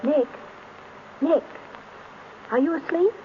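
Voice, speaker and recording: An actress playing a detective's playful, persistent wife, teasing and nudging, in an old radio drama. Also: female voice, high-pitched, slow pace. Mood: coaxing. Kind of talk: 1930s radio drama acting. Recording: dull, noisy old radio recording.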